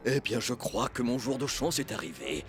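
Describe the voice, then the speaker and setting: A man's voice: medium pitch, very animated, normal speed, lightly acted. An actor voicing an arrogant male sea creature in a French children's cartoon, haughty and taunting. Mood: smug challenge. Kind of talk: children's cartoon dub acting. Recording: loud music under the voice.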